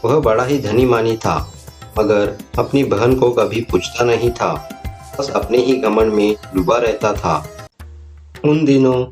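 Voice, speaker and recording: A man's voice: medium pitch, a little slow, a little mellow. A man narrating a scripted moral story as its storyteller. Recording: music bed behind the voice.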